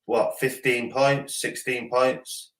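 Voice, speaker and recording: A man guesting on a casual football podcast who talks quickly, repeats himself and pushes his points in short bursts. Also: medium pitch, little pitch movement, fairly bright tone. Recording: echoey room.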